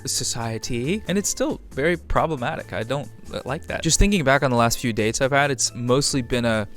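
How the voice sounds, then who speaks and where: A man's voice: mid-range voice, fairly quick, fairly bright tone, faint music behind. A man talking through his view in a commentary video, explaining in a lightly joking way.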